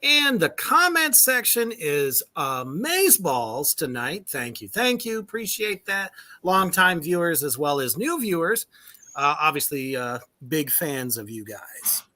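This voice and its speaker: A man's voice: fairly high pitch, very animated, a little slow, bright, forceful tone. A man hosting a casual livestream chat with a band, loose and profane, laughing often. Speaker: podcast host.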